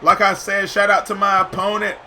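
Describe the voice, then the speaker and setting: Man, gamer commentary, high voice, very bright tone, excitable, slangy, shouts at big plays, a bit hoarse. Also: brisk, a little hiss or hum. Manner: slangy, says "yo" often. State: voice getting hoarse. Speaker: gaming YouTuber. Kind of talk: live gameplay commentary.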